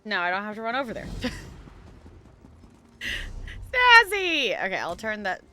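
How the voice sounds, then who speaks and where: A woman chatting casually and animatedly on a game livestream, halting mid-thought as she goes. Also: a little high, wildly swooping pitch, bright, forceful tone, a little hiss or hum. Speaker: video game live streamer. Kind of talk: casual livestream chat over gameplay.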